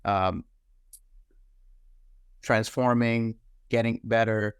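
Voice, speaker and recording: A man from the Dominican Republic, a cybersecurity executive, telling his story as an interview guest in a measured, earnest way and pausing often on "uh". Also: mid-range voice, steady tone, smooth, no room sound, clean studio sound. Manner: measured, earnest, pauses with frequent "uh". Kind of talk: reflective personal storytelling.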